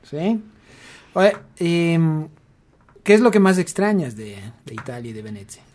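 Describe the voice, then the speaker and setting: A woman's voice: fairly low pitch, big pitch swings, unhurried, darkish tone. A woman hosting a radio interview, asking friendly questions with many hesitations. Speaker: radio host.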